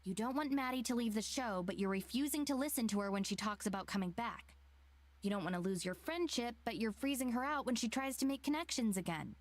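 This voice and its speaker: A woman voicing a stoic, blunt but caring young woman in a deadpan way for a visual novel. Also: fairly high pitch, brisk, lightly acted. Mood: firm, blunt. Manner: deadpan, matter-of-fact.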